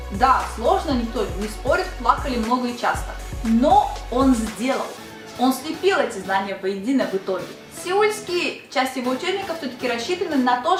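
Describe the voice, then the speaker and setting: A woman's voice: high-pitched, echoey room. A young Russian woman, a Korean language teacher, chatting casually in a video lesson and asking the viewer rhetorical questions.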